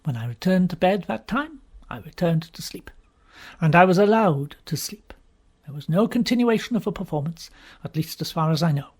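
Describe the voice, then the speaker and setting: A man reading a story aloud in an expressive, theatrical first-person style with deliberate pauses, his voice husky and breathy. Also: high voice. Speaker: audiobook reader.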